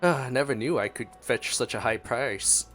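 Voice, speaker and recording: A man's voice: medium pitch, normal speed, fairly bright tone. A man giving casual reaction commentary as a game streamer, musing aloud and exclaiming. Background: game music.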